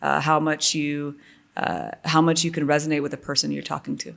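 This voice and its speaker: A woman's voice: sits low, fast talker, gravelly, fairly bright tone. A woman talking as a podcast guest in casual interview chat, earnest and reflective, with frequent fillers and restarts. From United States.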